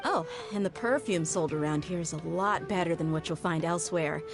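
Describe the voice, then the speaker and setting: A reserved female guard in a fantasy game, voiced by a woman, on the low side in pitch. Her delivery is composed and matter-of-fact, with music clearly behind her. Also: brisk, close to an everyday voice. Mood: composed interest. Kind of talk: video game voice acting.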